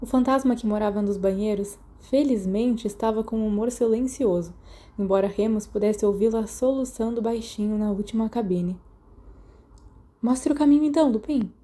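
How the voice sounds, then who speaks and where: A woman's voice: mid-range voice, moderate pace, mellow. A woman with a Brazilian accent reading a story aloud as a podfic narrator. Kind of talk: scripted audiobook-style narration.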